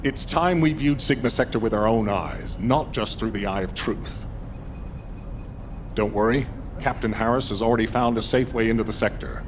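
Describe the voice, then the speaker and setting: Guy doing serious commander briefing the team in a game, kinda low, steady, rumbly background. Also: natural voice. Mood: resolute. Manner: measured, resolute, expository. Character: serious male operations leader briefing his team. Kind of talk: video game briefing dialogue. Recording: clear rumbling vehicle noise behind.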